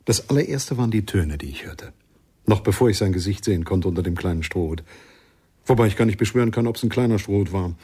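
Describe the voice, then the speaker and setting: A man's voice: on the low side, expressive, fast, warm tone, slightly rough. An actor playing a thoughtful jazz witness in a radio play, reflective and often correcting himself as he searches for words.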